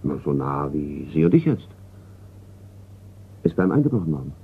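An actor playing a reserved, courteous businessman and collector in a radio crime play, speaking in a measured way. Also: extremely low pitch, sing-song, gravelly, slightly rough, heavily acted. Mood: guarded. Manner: measured, polite. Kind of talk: German radio drama acting. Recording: tinny, noisy radio recording.

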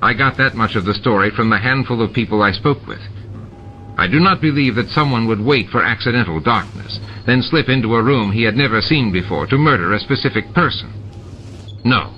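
A man voicing a stern, dry shapeshifting male security chief who talks in measured, sarcastic sentences. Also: medium pitch, pushed and bright, slight background noise, close to an everyday voice. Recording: dull lo-fi with music behind.

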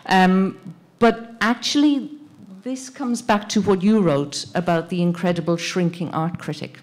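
A middle-aged woman moderating a panel discussion, thoughtful and halting as she searches for words. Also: on the low side. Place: indoor panel event in a plain gallery room. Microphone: handheld microphone.

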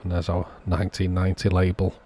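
A man's voice: low-pitched, completely flat delivery, fast, warm tone, slight background noise. A man narrating a hands-on repair walkthrough in a matter-of-fact, understated way, as the host of a repair channel.

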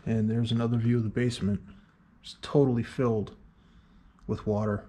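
A man's voice: mid-range voice, little pitch movement, moderate pace, mellow. A man casually narrating a walk through an abandoned train station, musing and thinking aloud as he points things out. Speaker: urban explorer vlogger. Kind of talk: casual on-location walkthrough narration.

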